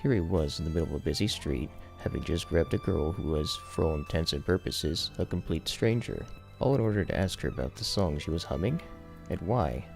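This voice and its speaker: Very deep, gravelly man narrating fiction. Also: expressive, a little fast, faint music behind. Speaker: audiobook narrator. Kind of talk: serious dramatic fiction reading.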